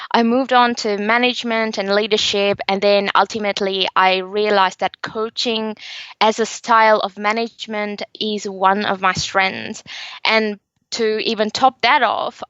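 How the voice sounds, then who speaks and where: Woman coach from Sri Lanka, guest; bright, crisp tone, dull dry remote sound. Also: medium pitch, no room sound. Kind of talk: podcast guest interview.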